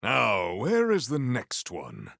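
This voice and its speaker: Noble video game warrior man, slow stately formal talk, big swoopy delivery. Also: medium pitch, wildly swooping pitch, vocal fry, a bit bright, dry recording, studio quality, exaggerated voice. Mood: solemn, resolute. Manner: stately, theatrical, archaic formal phrasing. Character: proud, honorable, formal warrior, adult man, earnest and grandiose. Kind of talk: video game character voice lines.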